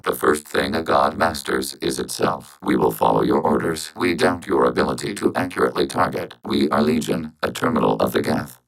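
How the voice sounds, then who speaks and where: Game robot: collective machine intelligence, bottom-register voice with heavy fry, synthetic filter, coolly logical, measured, formal and unemotional. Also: male voice, very deep voice, a bit bright, heavily acted, rough recording. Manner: measured, clipped, emotionless, formal phrasing. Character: calm, logical networked robot speaking as a collective. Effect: robotic voice processing.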